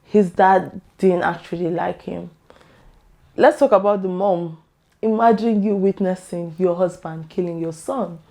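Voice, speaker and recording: A woman vlogger with a hoarse, worn-out voice, talking casually and with strong opinions to her camera. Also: on the low side, fairly even intonation, measured pace, dark tone. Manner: chatty, opinionated, laughs often. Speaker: YouTube vlogger.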